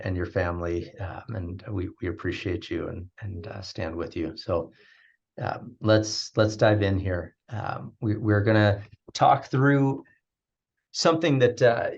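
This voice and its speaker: A man's voice: deep voice, gravelly, lo-fi sound. A man hosting a business webinar over a video call, speaking sincerely with frequent fillers and restarts. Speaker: webinar host. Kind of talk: webinar talk.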